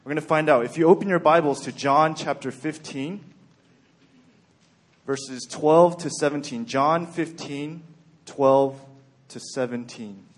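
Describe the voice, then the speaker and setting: Even-keeled young man preaching, higher voice. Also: a little slow. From Texas. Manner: even-keeled, plain-spoken, frequent "um" and "okay". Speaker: church speaker. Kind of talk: sermon.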